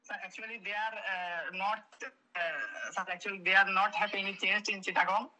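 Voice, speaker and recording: A woman's voice: medium pitch, fairly even intonation, normal speed, bright, forceful tone, low-quality recording. A woman from Bangladesh with a Bangladeshi accent, a phone caller speaking hesitant, broken English with many fillers and restarts. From Bangladesh (Chattogram). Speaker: phone caller, local witness. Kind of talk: halting phone interview, partly read from a script.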